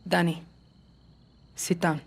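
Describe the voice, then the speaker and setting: A woman's voice: fairly low pitch, level tone, takes it slowly, vocal fry. An actress playing a blunt, defiant female guerrilla in a game cutscene. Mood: wary defiance. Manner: blunt, emotional. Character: defiant, foul-mouthed young female guerrilla fighter. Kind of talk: dramatic game cutscene acting.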